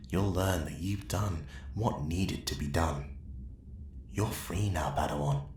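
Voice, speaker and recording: A calculating Sith Lord acted by a man in an ASMR roleplay, with a low voice. He is measured, persuasive and commanding, tempting the listener toward darkness. The recording is echoey, with faint noise behind. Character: calculating male Sith Lord, smooth seducer to dark side.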